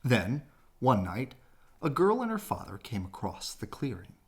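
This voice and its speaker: Very animated, slow-paced male storybook reader. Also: medium pitch. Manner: dramatic, drawn-out phrasing. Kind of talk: expressive bedtime read-aloud narration.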